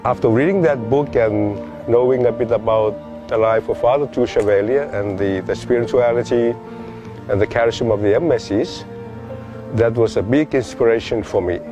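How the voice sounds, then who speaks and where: Halting male priest with music behind. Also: fairly low pitch. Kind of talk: personal testimony.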